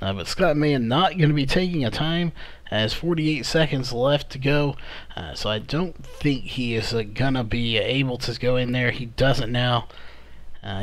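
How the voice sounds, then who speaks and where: A man calling qualifying times as a race commentator, his voice going hoarse. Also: mid-range voice. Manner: steady, methodical calling of lap times. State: losing his voice. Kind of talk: play-by-play commentary.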